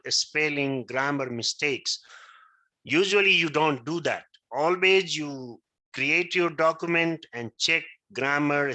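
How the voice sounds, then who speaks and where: A man's voice: mid-range voice, bright, forceful tone. A male teacher whose first language is Maithili, giving an interactive class lecture and often checking that his students understand. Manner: keeps checking understanding, asks if he is right.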